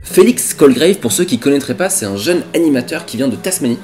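A man's voice: mid-range voice, animated, a little fast, a little mellow, soft background music. A man in his twenties with a French accent, co-hosting a web show in a casual, slangy, joking way. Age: 20s. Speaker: co-host of a web culture show. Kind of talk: casual chatty presenting to camera. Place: diner booth with red seats.

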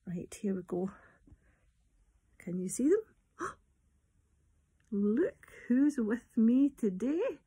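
A Scottish woman in her fifties talking and reading to young children at storytime, expressive, in simple phrases with questions to the listeners. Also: on the high side, extremely slow, long pauses, husky. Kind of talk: storytime talk and poem reading for small children. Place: small room at home, indoors. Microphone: phone or tablet at arm's length.

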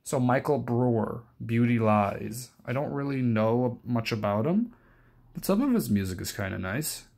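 A man's voice: medium pitch, animated, normal speed, creaky, a little mellow. A young adult man giving a casual, unscripted show-and-tell, rambling hesitantly and often correcting himself. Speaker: collector presenting his cassette collection.

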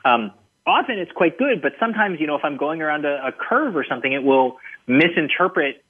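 A man's voice: a little high, lively intonation, fairly quick, breathy edge. A man being interviewed as a book's author, answering thoughtfully with many fillers and restarts. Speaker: guest author. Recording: tinny phone-line audio.

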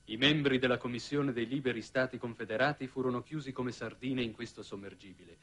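An actor playing a grave young envoy who narrates a space mission with dramatic pauses, in an old 1960s TV drama. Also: male voice, medium pitch, fairly bright tone, close to an everyday voice. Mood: grave report. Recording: old 1960s television soundtrack.

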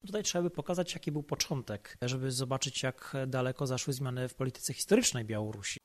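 A man's voice: mid-range voice, fast. A man speaking as an analyst, explaining in an interview. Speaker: policy analyst and report author.

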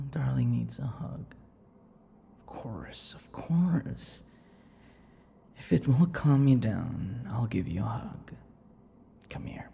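A man voicing an obsessive, menacing, centuries-old vampire lover in an ASMR roleplay, breathy and dramatic, often dropping into whispers. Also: mid-range voice, warm tone, full character voice. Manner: slow, dramatic, swinging between tender and unhinged. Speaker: audio roleplay performer. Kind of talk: ASMR boyfriend roleplay acting. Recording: echoey long reverb, faint noise.

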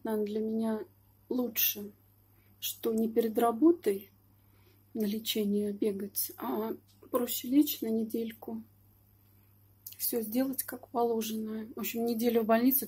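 A middle-aged woman chatting to her phone camera by a high-rise apartment window, rambling and matter-of-fact. Her voice sits a bit high but sounds mellow and very husky, with muttered asides dropped in. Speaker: vlogger. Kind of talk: casual personal monologue. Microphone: phone camera pointed out the window.

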